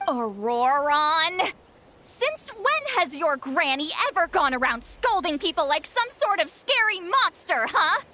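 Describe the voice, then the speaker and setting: Bright, high, spirited granny. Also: female voice, very animated, measured pace, heavily acted. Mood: playful indignation. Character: spirited, teasing granny, youthful-sounding, playfully scolding. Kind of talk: video game voice acting.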